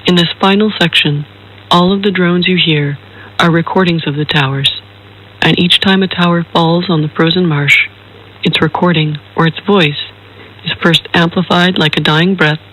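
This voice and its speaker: A high-pitched man with a husky edge delivers a scripted introduction. The phrasing is measured, formal and careful, as an artist presenting their own work. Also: fairly even intonation, a bit bright, rough recording. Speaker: filmmaker introducing their own film and radio piece.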